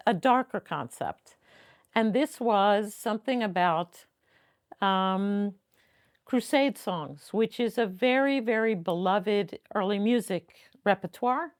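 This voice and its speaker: A woman who directs an early music ensemble, speaking in a documentary interview and pausing to find her words. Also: medium pitch. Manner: thoughtful, searching for words.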